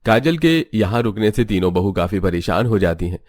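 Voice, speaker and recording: Low-pitched male story narrator. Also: expressive, a little fast, darkish tone.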